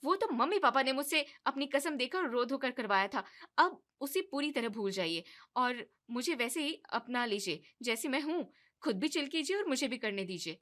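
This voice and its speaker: A woman's voice: sits high, lively intonation, moderate pace, fairly bright tone. A woman voicing a carefree, cheeky young daughter-in-law in a cartoon story, talking breezily and mixing in English words.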